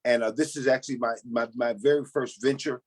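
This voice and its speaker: A man's voice: mid-range voice, fairly even intonation, fairly quick, husky. A middle-aged man, a pastor, giving earnest conversational answers as an interview guest. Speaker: pastor and stage actor being interviewed.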